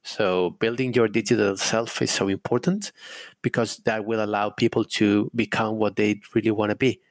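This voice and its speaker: A man's voice: fairly low pitch, steady tone, moderate pace, no room sound. A man with a Spanish accent answering interview questions as an audio director.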